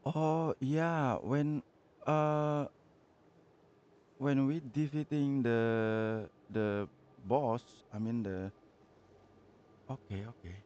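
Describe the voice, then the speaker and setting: A man's voice: medium pitch, fairly even intonation, extremely slow, long pauses, a little mellow, clean tone. A man live streaming a game, giving casual, hesitant reactions to what happens. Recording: dull lo-fi mic, faint background noise.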